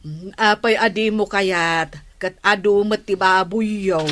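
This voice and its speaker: An unhurried, mid-pitched village neighbor with an Ilocano accent. She is nosy and coaxing, pressing her questions in comic radio drama style. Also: steady tone, fairly bright tone, slight background noise. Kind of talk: comedy radio drama acting.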